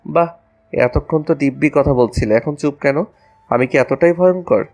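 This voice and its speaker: A man's voice: fairly high pitch, moderate pace, darkish tone, faint music behind, close to an everyday voice. A man playing a playful, affectionate young husband in love in a romantic audio story. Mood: teasing. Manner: teasing, affectionate.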